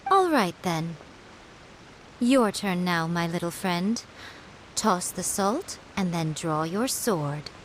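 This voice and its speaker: A woman voicing a sly fox-spirit shrine priestess in a video game, with a silky, mocking, unhurried delivery. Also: lowish voice, expressive, a little hiss or hum, poor audio. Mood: commanding.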